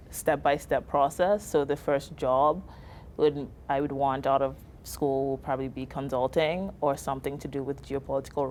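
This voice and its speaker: A woman's voice: deep voice, a little mellow, slight background noise. A young woman from Nigeria, a student guest in an interview, answering in a composed, articulate and dryly witty way. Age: young adult.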